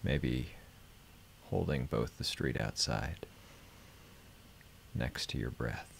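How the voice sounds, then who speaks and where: A man hosting a live stream, guiding meditation and chatting casually in a gentle, unhurried, reflective way. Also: rumbling low, creaky, a little hiss or hum.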